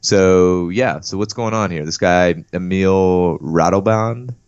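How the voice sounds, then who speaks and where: A young man co-hosting a podcast, talking in an easygoing, drawn-out way and repeating himself. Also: deep voice, darkish tone, smooth, dull audio. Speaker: podcast co-host. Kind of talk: casual podcast chat.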